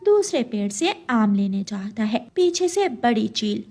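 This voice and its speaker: A woman reading scripted narration for a children's animated story. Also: fairly high pitch, unhurried.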